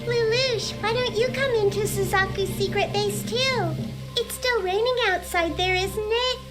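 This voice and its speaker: Casting: gentle little girl, extremely high voice, unhurried, innocent, anime drama with music. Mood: gentle, warm. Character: sweet, gentle little girl, adoring younger sister. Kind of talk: dubbed anime audio drama acting.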